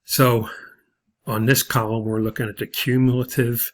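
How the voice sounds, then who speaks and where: A man instructing in a video lesson, explaining a worked problem step by step in a halting way. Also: mid-range voice, little pitch movement. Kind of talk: video lesson walking through example problems.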